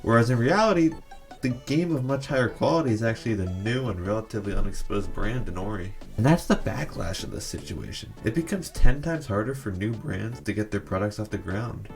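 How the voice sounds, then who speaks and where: A man's voice: fairly low pitch, expressive, a little fast, soft background music. A man narrating a scripted video essay, giving opinionated commentary with a sarcastic edge.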